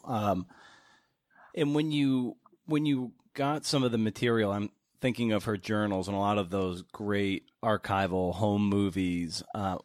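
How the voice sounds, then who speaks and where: A man's voice: on the low side, dry recording, clean studio sound. A man hosting a podcast, asking questions in a casual, conversational interview style.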